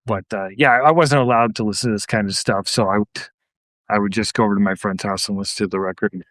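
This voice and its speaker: A man's voice: lowish voice, fairly even intonation, fast, fairly bright tone, no echo. Middle-aged man, dry close sound. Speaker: podcast guest. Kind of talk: casual chat, reminiscing about pop culture.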